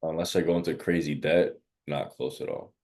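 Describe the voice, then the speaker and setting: Very deep-voiced young man, hesitant. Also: steady tone, moderate pace, a little mellow. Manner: hesitant, heavy use of "like". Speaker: sales prospect. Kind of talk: casual phone conversation.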